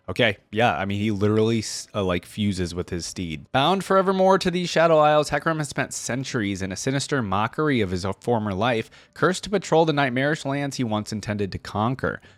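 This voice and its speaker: A man hosting a reaction, moving between casual commentary and expressive reading of fantasy stories aloud. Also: medium pitch, dry recording. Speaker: online reaction host.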